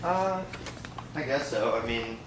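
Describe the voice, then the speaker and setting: A man playing a reluctant, hesitant young former film actor in a naturalistic found-footage interview, halting and noncommittal. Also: mid-range voice, lively intonation, heavy background noise. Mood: hesitant, guarded. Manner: halting, noncommittal, mumbly fillers.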